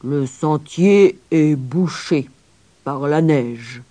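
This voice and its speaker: A woman voicing a gruff, grumbling old mountain grandfather in a children's storybook, stern and emphatic. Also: sits low, very slow, dark tone, heavily acted. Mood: stern, grumpy. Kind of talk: children's storybook character acting.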